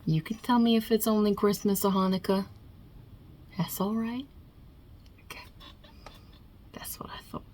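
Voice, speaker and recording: A woman's voice: medium pitch, steady tone, measured pace, pauses often, darkish tone, voice pushed up, close to an everyday voice. A woman playing a non-binary Italian mob boss God with a put-on New York Italian-American accent, wry and casual with muttered asides, in an ASMR roleplay. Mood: dry, teasing.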